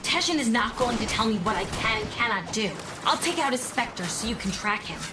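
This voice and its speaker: A woman voices a defiant young warrior in a video game. The voice is fairly high and slow, with stubborn emphasis. It echoes under loud music. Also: expressive, lightly acted.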